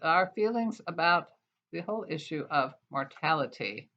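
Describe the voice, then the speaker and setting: Older woman, American accent, slightly low voice, poetry reading, expressive deliberate phrasing, dull lo-fi recording. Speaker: poet. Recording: dull, lo-fi sound.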